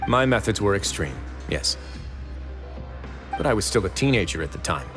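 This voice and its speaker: Low-voiced, composed game duke. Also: male voice, sing-song, long reverb tail, over loud music. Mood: grave, reflective. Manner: measured, polite, understated. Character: composed, grave male fortress duke with a dark past. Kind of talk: dramatic video game acting.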